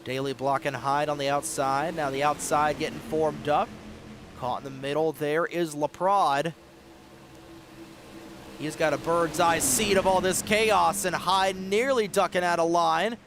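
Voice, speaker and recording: High voiced guy doing excitable race commentary, bit raspy, car engine noise behind. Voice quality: slightly raspy. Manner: excitable, fast-moving play-by-play with sudden rises in pitch at close calls. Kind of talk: sim racing play-by-play commentary.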